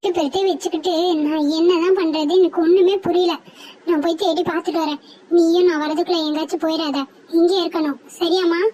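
A woman's voice: very high voice, fairly even intonation, fast, dark tone, slight background noise, rough recording. A woman voicing a worried, scolding mother sparrow in a cartoon. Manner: scolding, anxious. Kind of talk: cartoon voice acting.

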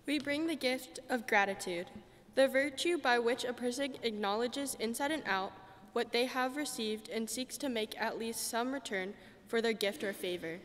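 A teenage girl delivers a written ceremony speech in a highish voice. It carries through a big church with a long reverberant tail. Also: normal speed, slight background noise. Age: teenager, about 14. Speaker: graduating student speaker. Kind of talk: reading a prepared ceremony speech. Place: large church, indoors. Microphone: podium microphone. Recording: reverberant church podium recording.

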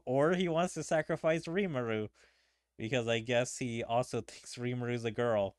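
A man's voice: mid-range voice, normal speed, smooth, dry recording. Casual male gamer, dry sound. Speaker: gaming video creator. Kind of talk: casual gameplay commentary. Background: faint game music.

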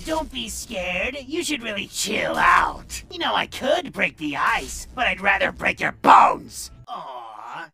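A man voicing a cocky ice villain in a cartoon, delivering theatrical, shouted taunts. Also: high voice, vocal fry, heavily acted.

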